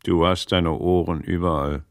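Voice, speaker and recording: A troubled, scholarly master in a radio play. The voice is low and gravelly, recorded very dry. He speaks in a grave, measured, brooding way. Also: fairly even intonation, no room sound. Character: troubled, learned magister, grave and doubting master. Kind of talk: German radio play acting.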